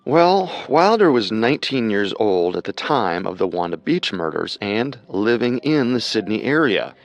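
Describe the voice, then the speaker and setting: A man co-hosting and narrating a scripted true-crime show in a measured, dramatic storytelling manner. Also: on the low side, very animated. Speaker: podcast co-host and narrator.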